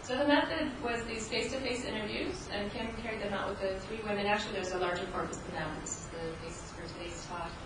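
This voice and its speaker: A woman researcher explains her study's method at a conference in a reflective, explanatory way, pausing now and then. Her mid-pitched voice is recorded from across a very echoey hall, with noticeable background noise. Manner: reflective and explanatory, with some hesitations. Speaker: academic researcher, co-presenter. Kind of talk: academic conference presentation. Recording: echoey hall, distant mic, noisy.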